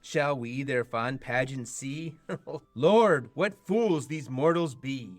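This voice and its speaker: A man's voice: medium pitch, takes it slowly, no echo, close to an everyday voice. A teenage man playing an eager, mischievous fairy servant in an amateur Shakespeare radio play, speaking verse playfully. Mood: gleeful, eager. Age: late teens.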